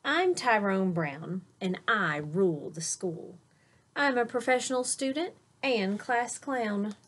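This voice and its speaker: A woman's voice: medium pitch, animated, slow pace. A woman voicing a cocky schoolboy class clown from a storybook, boastful and emphatic.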